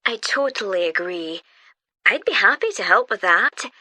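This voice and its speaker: Bright-voiced, keen British newcomer. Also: female voice, mid-range voice, animated, normal speed, dry recording. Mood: keen, polite. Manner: polite, eager, inquiring. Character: keen, friendly female newcomer asking to volunteer. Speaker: actor in a listening test dialogue. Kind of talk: scripted everyday conversation, clearly enunciated.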